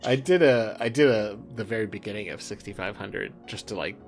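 A man's voice: fairly low pitch, brisk. A man hosting a podcast in casual, informal conversation, sometimes reading text aloud. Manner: informal, swearing, often laughing. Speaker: podcast host. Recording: background music under speech. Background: music.

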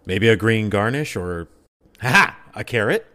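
Animated man in casual podcast banter. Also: lowish voice, normal speed. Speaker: podcast co-host.

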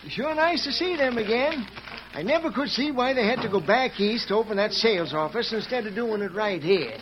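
A man's voice: high voice, animated, brisk, slight background noise. A man playing a folksy, obliging, talkative small-town station master in an old western radio drama. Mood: friendly, chatty. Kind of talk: 1940s western radio acting. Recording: dull old radio recording, background chatter.

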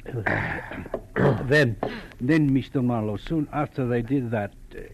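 An actor playing a proud, easily affronted gentleman in his sixties, formal and halting, in an old radio detective drama. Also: medium pitch, vocal fry, noisy background. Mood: nervous formality. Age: 60s. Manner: formal, halting, punctuates speech with "sir". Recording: dull lo-fi old radio recording.

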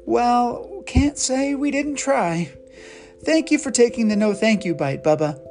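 A gentle mother from a children's storybook, put on by a woman reader, with a raised, sweet voice. She coaxes softly and apologizes kindly, and her pitch moves in a lively way. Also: moderate pace, soft background music. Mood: coaxing. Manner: soft, coaxing, apologetic. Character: gentle, patient young mother. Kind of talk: storybook character voice.

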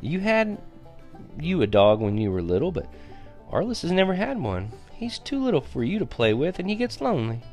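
A man voicing a calm, firm country mother in a storybook reading, soft, patient and folksy. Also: medium pitch, moderate pace, soft background music, voice pushed up, close to an everyday voice. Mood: gently chiding.

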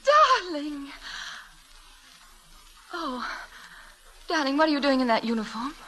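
An actress playing a tender, devoted young schoolteacher in an old-time radio drama, speaking with emotional exclamations and endearments. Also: female voice, high-pitched, very animated, takes it slowly, sharp tone, full character voice. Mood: anxious, loving. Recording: vintage radio drama with music.

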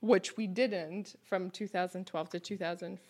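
Gravelly-voiced woman with a French Canadian accent. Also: lowish voice, steady tone, unhurried, a little mellow. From Montreal, Quebec. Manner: talkative, lots of "like" and "you know". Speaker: guest, restaurant co-owner. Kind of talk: casual conversation.

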